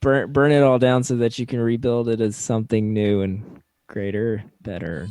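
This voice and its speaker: Male podcast co-host, wry recapper, mid-pitched, close dry mic. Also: fairly even intonation, moderate pace, a little mellow, clean tone, no room sound. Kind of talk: casual chat.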